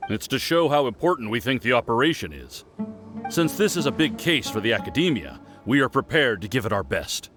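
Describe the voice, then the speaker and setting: A mid-pitched male captain, formal, firm and dutiful. His delivery is animated, as a resolute game soldier, with music behind him. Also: fairly quick. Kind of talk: video game voice acting.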